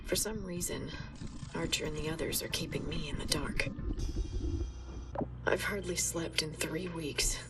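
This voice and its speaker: An actress playing a haunted, exhausted woman scientist, confiding in a weary, halting way in a dramatic video game monologue. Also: sits low, fairly even intonation, distant mic, loud music behind the voice. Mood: weary, troubled. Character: haunted, exhausted woman scientist keeping a grim research diary.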